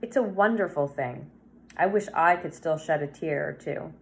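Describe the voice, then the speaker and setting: A woman voicing a kindly, sorrowful old woman in a children's storybook, soft-spoken and gentle, on a noisy recording. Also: deep voice, moderate pace, vocal fry. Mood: tender, sad. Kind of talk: children's novel read-aloud.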